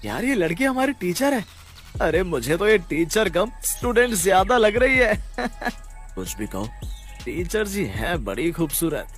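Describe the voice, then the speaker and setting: A man voicing a cocky, spoiled high-school student in a cartoon story, taunting and swaggering. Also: very animated, a little slow, soft background music. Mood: amused, leering. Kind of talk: animated story character acting.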